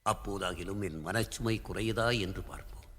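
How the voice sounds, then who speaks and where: A man's voice: low-pitched, brisk, a bit bright. An actor playing a proud, guilt-ridden king in a historical radio drama, declaiming formally. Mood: confessional. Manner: declamatory, formal classical diction. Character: proud, guilt-ridden Tamil king confessing to his queen. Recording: long hall-like reverb.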